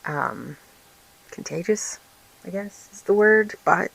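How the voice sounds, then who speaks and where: Raspy, tired-sounding woman vlogger. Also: fairly low pitch, expressive, slow, fairly bright tone, low-quality recording. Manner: rambling, with many "um"s and restarts. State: tired, recovering from illness. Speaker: vlog host and small craft business owner. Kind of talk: casual chatty vlog update.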